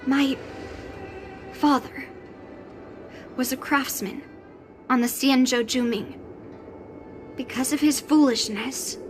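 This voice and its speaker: A blunt, high-voiced young swordswoman. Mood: serious. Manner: blunt, teasing. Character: proud young swordswoman, blunt and sharp-tongued. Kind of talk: game cutscene voice acting. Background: game score music.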